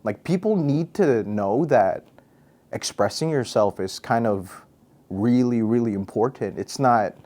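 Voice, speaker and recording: A man's voice: medium pitch, measured pace, warm tone. A young man from Guam, a radio personality and MC, answering interview questions in a laid-back, goofy, chatty way. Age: young adult.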